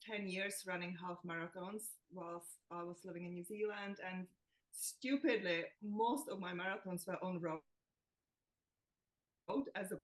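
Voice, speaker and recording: A woman's voice: on the low side, poor audio. A woman from the Czech Republic, a nutritionist guest in a podcast interview, chatty and self-deprecating, laughing as she talks. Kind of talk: casual interview chat.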